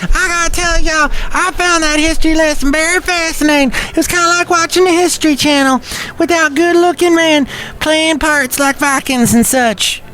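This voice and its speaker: Man as a rodeo queen, drawl, very high, sharp, gushing. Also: steady tone, normal speed. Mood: chatty. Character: brash Southern rodeo queen woman, flirty.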